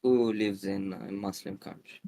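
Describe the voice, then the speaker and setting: A man's voice: deep voice, steady tone, measured pace, warm tone. A man from Romania asking hesitant questions in a casual group voice chat, often trailing off. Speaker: participant in a voice chat.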